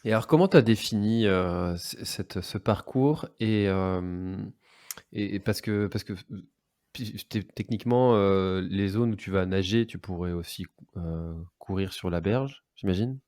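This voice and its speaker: Low-voiced male podcast host. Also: a little mellow. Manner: asks questions casually, halting. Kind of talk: casual podcast interview.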